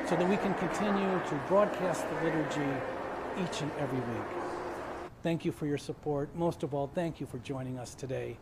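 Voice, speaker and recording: An older man, a priest, speaking to the camera in a church garden, warmly thanking viewers for watching. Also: on the high side, very noisy. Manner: warm, grateful address to camera. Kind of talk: closing message to viewers. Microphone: camera framed at chest level. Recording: loud outdoor ambience.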